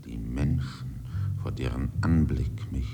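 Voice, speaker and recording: Very deep, slow, ominous wilderness spirit. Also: male voice, vocal fry, mellow, soft background music, heavily acted. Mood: brooding, dark. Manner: solemn, half-whispered, incantatory. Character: ancient brooding male nature spirit of the wilderness, fearing humans, menacing. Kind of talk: 1950s German radio drama monologue.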